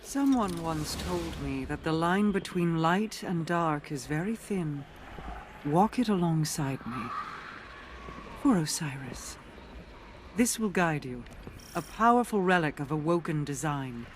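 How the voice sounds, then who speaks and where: An actress voicing a cool, calculating otherworldly queen in a video game, speaking in a formal, measured, commanding way. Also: sits low, expressive, noisy background. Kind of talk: video game cutscene dialogue. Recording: echoey, distant, with music behind.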